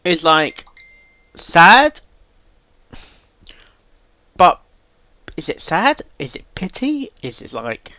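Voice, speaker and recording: A man hosting a reaction video, giving casual off-the-cuff commentary in a halting, rambling way and often repeating himself. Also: on the high side, huge pitch swings, sharp tone.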